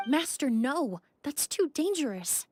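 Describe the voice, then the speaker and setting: A woman's voice: high-pitched, sing-song, a little slow, darkish tone, faint music behind. A woman playing a concerned, devoted young female companion in a dramatic game. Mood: worried.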